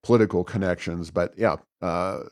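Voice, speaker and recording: Low-voiced man giving political commentary, dry sound. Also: moderate pace, a little mellow, dry recording. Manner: openly critical, opinionated. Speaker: podcast co-host. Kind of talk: conversational political news commentary.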